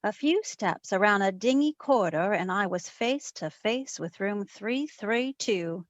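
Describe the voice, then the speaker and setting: A woman playing a wisecracking, hard-boiled private detective in an old-time radio drama, telling her case in a wry, deadpan way. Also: mid-range voice, measured pace, no echo, natural voice. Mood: wry, tense. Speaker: lead actor in community theatre radio recreation. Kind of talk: old-time radio detective acting, first-person narration and dialogue. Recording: dull lo-fi recording with music underneath.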